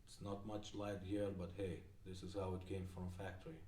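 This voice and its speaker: Male demo presenter, low register, casual and unpolished delivery, recorded in a reverberant room. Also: flat delivery, normal speed, warm tone, a little hiss or hum. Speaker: presenter demonstrating restored car radios. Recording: echoey room with music playing. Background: music from the radio being demonstrated.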